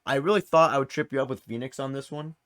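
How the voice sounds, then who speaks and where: A man's voice: medium pitch, quick pace, fairly bright tone. Man hosting a casual quiz. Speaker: quiz host. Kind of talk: casual game-show hosting.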